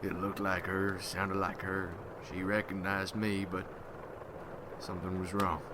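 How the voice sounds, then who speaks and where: A man playing a weary, haunted rural Civil War veteran turned vampire hunter, telling his story slowly and haltingly in an ASMR roleplay. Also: deep voice, flat delivery, noisy background. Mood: grave. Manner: slow, halting, somber folksy storytelling.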